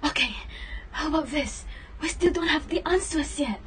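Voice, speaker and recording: A woman's voice: high-pitched, moderate pace, slight background noise, lightly acted. A young woman playing a bossy, sarcastic schoolgirl in an amateur reader's theater drama. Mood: tense. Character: bossy, sarcastic schoolgirl sneaking around to steal answers.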